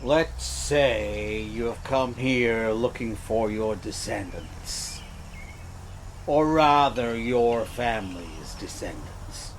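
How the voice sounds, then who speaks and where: An actor playing a scheming small-town entrepreneur in a comedy audio drama, measured and persuasive, hedging as he goes. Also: lowish voice, a little hiss or hum.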